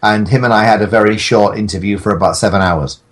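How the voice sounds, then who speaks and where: Low-voiced man rambling jokily. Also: fairly even intonation, quick pace. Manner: rambling, joking, mock-defiant. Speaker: podcast guest, audio drama maker. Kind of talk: casual comic podcast chat.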